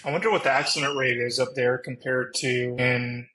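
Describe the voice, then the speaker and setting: Male, forward bright tone, fairly flat intonation, casual podcast panelist, pilot. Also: mid-range voice, normal speed, low-quality recording.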